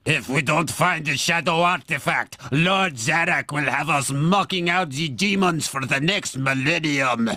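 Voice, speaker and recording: A man's voice: on the high side, unhurried, few pauses, pushed and bright, no room sound, clean studio sound. An actor playing a henchman urging his comrades on in a game, in a commanding tone. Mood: urgent.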